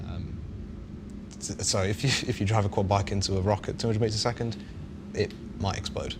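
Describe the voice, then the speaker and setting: Deep-voiced man, noisy livestream recording. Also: fairly even intonation, brisk. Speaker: game developer on a panel. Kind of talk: casual panel talk.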